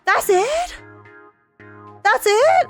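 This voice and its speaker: A woman giving casual, excited live commentary on a video game as a streamer, rambling and emphatic with plenty of slang. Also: very high-pitched, drawn-out words, soft background music.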